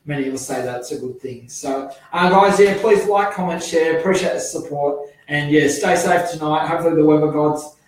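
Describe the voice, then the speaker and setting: A man hosting a speedway preview show, rambling with many fillers and self-corrections while his voice is going hoarse. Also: fairly high pitch, normal speed. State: losing his voice. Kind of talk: casual solo speedway preview. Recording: very echoey, from far off the mic.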